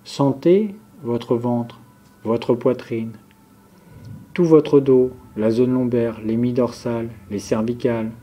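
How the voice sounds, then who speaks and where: Slow, mellow-voiced male yoga nidra guide. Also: mid-range voice. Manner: calm, measured, instructive, listing step by step. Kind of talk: guided relaxation meditation, instructions spoken slowly to a group.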